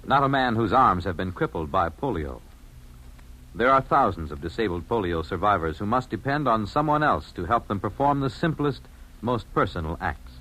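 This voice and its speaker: A man's voice: medium pitch, sharp tone, rough recording. A man with an American accent reading scripted announcements and appeals as a 1950s radio announcer. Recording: vintage 1950s radio broadcast.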